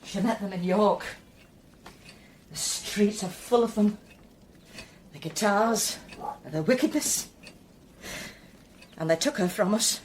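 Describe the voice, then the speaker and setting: A woman acting in a radio drama as a distraught, devout mother, speaking in a halting, emotional way. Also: medium pitch, background noise, close to an everyday voice. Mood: bitter grief.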